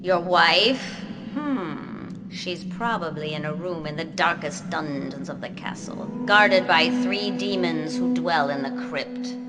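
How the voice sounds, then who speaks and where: An actress playing a bitter, weary, imperious banished woman in a video game cutscene, speaking theatrically over loud music. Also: lowish voice. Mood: ominous. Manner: theatrical, slow and commanding.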